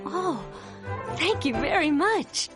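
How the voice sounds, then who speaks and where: A woman's voice: high voice, big pitch swings, takes it slowly, heavily acted. An actress playing a spirited, bookish young village woman with an earnest voice, from a film soundtrack with music. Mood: dreamy.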